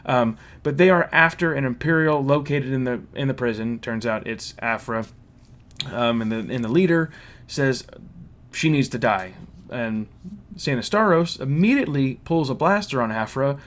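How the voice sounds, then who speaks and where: A man casually recapping a story as a reviewer, with an animated manner and mocking asides. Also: medium pitch, normal speed. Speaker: reviewer hosting a fan recap. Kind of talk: casual spoken plot recap and commentary.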